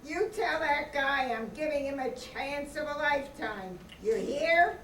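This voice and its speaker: Man playing brash rich pal in a radio play, high voice, echoey and far off. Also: expressive, a little slow, fairly bright tone, exaggerated voice. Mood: breezy, teasing. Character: Sam, brash teasing wealthy old pal on telephone. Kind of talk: amateur old-time radio play acting. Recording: echoey distant room, faint noise.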